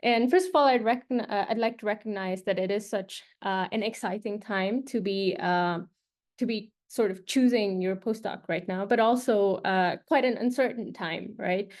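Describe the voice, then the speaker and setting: A woman's voice: mid-range voice, moderate pace, a little mellow. A young woman from Nepal, a postdoctoral researcher, giving a casual presentation with many fillers and restarts. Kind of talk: informal presentation to prospective postdocs.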